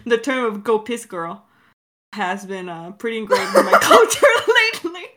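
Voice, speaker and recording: Woman, bright and animated, giggly co-creator in a loose, teasing Q&A chat. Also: mid-range voice, normal speed. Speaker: audio drama creator answering listener questions. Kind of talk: casual unscripted chat with a co-creator.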